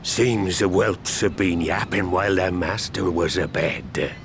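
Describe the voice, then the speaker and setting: An actor playing a stern, scornful pirate captain with gruff, old-fashioned seafaring speech in a game cutscene. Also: male voice, sits low, takes it slowly, background noise, full character voice. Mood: commanding. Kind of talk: video game cutscene acting. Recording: music playing underneath.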